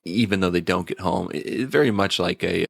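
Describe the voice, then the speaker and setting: A man's voice: sits low, fairly quick, vocal fry, a bit bright, no room sound. A man giving a casual, conversational review as a podcast reviewer. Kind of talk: casual episode review chat.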